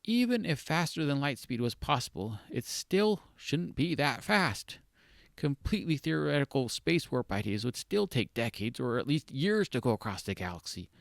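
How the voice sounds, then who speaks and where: Man reading as skeptical young woman, raised pitch. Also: very animated, normal speed, creaky, no echo, clean studio sound. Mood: disbelieving. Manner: questioning, reasoning, wry. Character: skeptical, analytical young woman space traveler.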